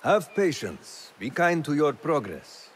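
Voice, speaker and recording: A patient, kindly assassin speaks slowly in a fairly high, expressive voice. His manner is gentle and advisory, as in a video game scene, with faint noise behind. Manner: gentle, unhurried. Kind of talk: scripted video game cutscene acting.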